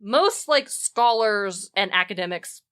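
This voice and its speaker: A woman's voice: medium pitch, animated, unhurried, fairly bright tone, clean tone. A woman co-hosting a podcast, explaining research in a casual, wry, opinionated and informal way. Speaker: podcast co-host.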